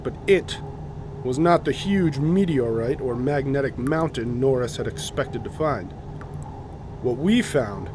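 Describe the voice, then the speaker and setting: A man's voice: medium pitch, noisy background, close to an everyday voice. An actor playing a serious male expedition officer, giving a firm, earnest briefing in a radio drama. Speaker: second in command of a polar expedition.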